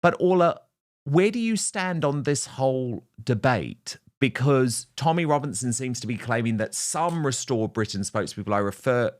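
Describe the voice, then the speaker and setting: Guy hosting a political talk show, news commentary, mid-pitched voice. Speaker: host.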